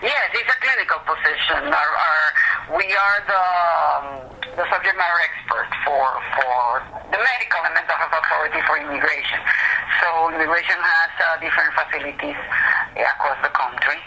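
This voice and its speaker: Very bright, higher-pitched man on noisy phone. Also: normal speed. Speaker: job interviewer. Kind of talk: explanatory interview talk over the phone. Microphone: telephone. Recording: noisy telephone recording.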